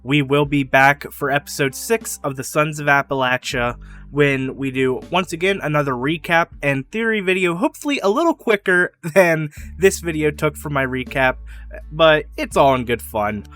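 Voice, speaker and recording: A young man hosting his own channel, rambling enthusiastically through fan theories in casual solo talk, laughing now and then and getting a little hoarse. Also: a little high, animated, moderate pace, a bit bright, soft background music. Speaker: YouTube theory channel host.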